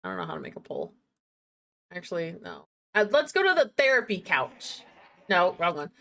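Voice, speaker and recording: Animated woman livestreamer chatting casually. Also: on the low side, normal speed, creaky. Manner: giggly, playful, chatting to herself and viewers. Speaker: game streamer, host. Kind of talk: casual livestream chat with viewers.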